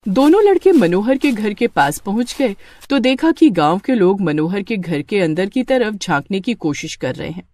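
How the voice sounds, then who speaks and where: Woman, story narrator, scripted moral tale, very expressive pitch, medium register, dry recording. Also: normal speed, hardly any pauses, dry recording.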